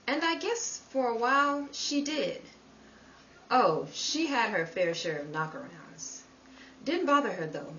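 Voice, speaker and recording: A woman's voice: a little high, fairly bright tone. A woman acting a guarded, world-weary sister and manager with wry, fatalistic delivery in 1930s radio drama style. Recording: dull, roomy, distant mic, faint noise.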